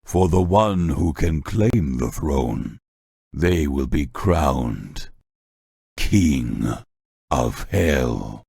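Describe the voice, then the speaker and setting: An actor playing a stern, authoritative older demon mentor in a video game, speaking gravely and deliberately. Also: male voice, rumbling low, lively intonation, a little mellow, dry recording, clean studio sound, full character voice. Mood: grave, ominous. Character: gruff older male demon coach and narrator, stern, measured. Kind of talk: dramatic scripted narration and game character acting.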